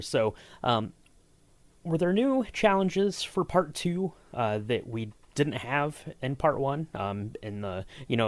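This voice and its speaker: A man hosting a podcast, asking long, searching questions in a relaxed chatty way. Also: mid-range voice, no room sound. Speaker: podcast host. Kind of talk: casual interview chat.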